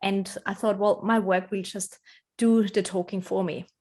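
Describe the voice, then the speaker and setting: Woman, non-native English speaker, interview guest; earnest, reflective, ordinary mid-range pitch. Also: fairly even intonation, normal speed, a little mellow. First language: not English. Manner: reflective, earnest, laughs often. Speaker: interview guest, branding strategist. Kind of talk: conversational interview answers.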